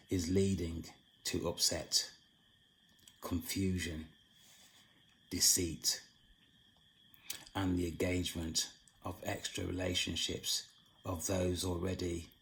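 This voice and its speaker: Very gravelly, hoarse, low-voiced man. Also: a bit bright, a little hiss or hum, low-quality recording. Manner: slow, halting delivery with long pauses. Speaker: channel host. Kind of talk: spiritual monologue.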